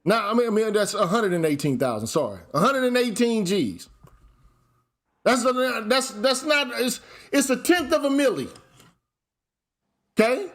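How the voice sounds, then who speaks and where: A man's voice: extremely high pitch, very animated, normal speed, long pauses, a bit bright. A man hosting a heated panel debate, blunt and profane, hammering his points with numbers. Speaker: show host.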